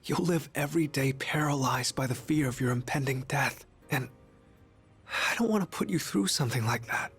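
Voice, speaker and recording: An actor playing a gentle young harbinger of death in human form for a video game, speaking softly with grave, resigned, pleading delivery. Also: male voice, mid-range voice, normal speed, faint music behind, close to an everyday voice. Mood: sorrowful, pleading. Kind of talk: English video game voice acting.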